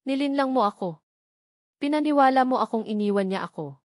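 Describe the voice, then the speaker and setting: A woman's voice: high voice, expressive, a little fast, no echo, studio quality, voice pushed up. Woman voicing a defiant, angry Filipino son. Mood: hurt, angry. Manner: blunt, confrontational.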